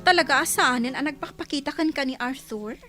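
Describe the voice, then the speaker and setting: A woman's voice: high-pitched, a little fast, over music, lightly acted. A woman playing a fair-minded, questioning young female friend in an audio drama. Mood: questioning, doubtful.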